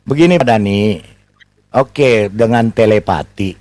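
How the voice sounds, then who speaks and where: A man's voice: lowish voice, a little slow, a little mellow, dry recording. A man in an unscripted, heated religious debate, questioning and moderating insistently and repeating himself for emphasis.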